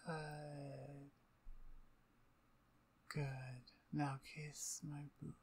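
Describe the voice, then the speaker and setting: A woman playing a dominant, playful vampire conqueror in an ASMR roleplay, with a breathy, near-whispered voice and an imperious, taunting manner. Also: sits low, flat delivery, very slow, a little mellow, a little hiss or hum. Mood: softly dominant.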